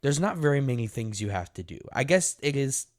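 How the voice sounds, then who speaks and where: A man's voice: mid-range voice, big pitch swings, fairly quick. A young man co-hosting a casual podcast, chatting loosely, laughing often and restarting his sentences. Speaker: podcast co-host. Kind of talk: casual chat.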